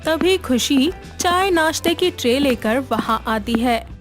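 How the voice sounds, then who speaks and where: Female storyteller, unhurried scripted narration, slightly high voice, light music bed. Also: expressive.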